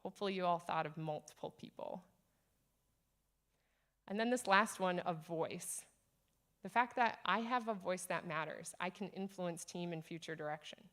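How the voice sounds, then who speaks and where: Energetic woman giving a conference talk. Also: lowish voice, moderate pace. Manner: energetic, earnest, encouraging. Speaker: conference speaker. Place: conference stage.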